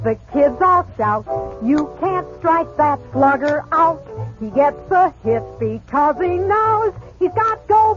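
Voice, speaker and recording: A very high female voice sings a slow, old-fashioned radio jingle. Loud music fills the dull vintage broadcast. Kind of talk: 1950s advertising jingle singing.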